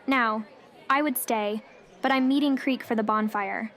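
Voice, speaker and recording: A woman playing a quiet, modest young village keeper in a fantasy audio drama, polite and reserved. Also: on the high side, measured pace. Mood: politely guarded. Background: festival crowd ambience.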